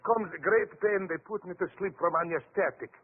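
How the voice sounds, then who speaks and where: A man's voice: high voice, moderate pace, lightly acted. An actor playing a distraught foreign man, pleading in broken English in a 1940s radio drama. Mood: baffled, agitated. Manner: pleading, fractured grammar, repeats himself. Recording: tinny, hissy 1940s radio recording.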